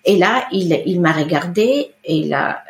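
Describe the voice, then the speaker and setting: A middle-aged woman whose first language is Italian, talking about her work as a guest in an interview, pausing often with fillers and restarts. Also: fairly low pitch, lively intonation. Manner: hesitant, many fillers and restarts. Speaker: iridologist guest. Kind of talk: conversational interview. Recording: roomy echo.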